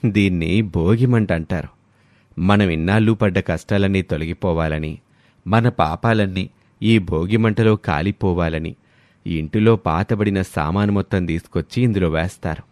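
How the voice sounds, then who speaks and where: A man playing a kindly, measured middle-aged village father in a moral story. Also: sits low, a little mellow, dry recording, close to an everyday voice. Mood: gently instructive.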